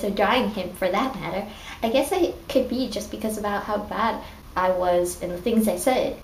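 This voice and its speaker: A woman's voice: mid-range voice, lightly acted. An earnest, self-doubting superhero soldier, read out by a woman, flustered and lovestruck in a fan fiction reading. The sound is echoey, with noise behind the voice. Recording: echoey room with clear background noise.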